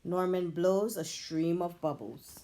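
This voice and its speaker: A woman, a teacher, reading a children's picture book aloud with expressive, animated storytelling. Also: lowish voice, slow.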